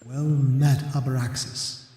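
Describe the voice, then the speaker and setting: A man's voice: mid-range voice, flat delivery, slow, dark tone, close to an everyday voice. A man voicing a courteous, scholarly winged serpent who guards a library, speaking formally and musingly in an ASMR roleplay. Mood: courtly. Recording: echoey hall reverb, faint background noise.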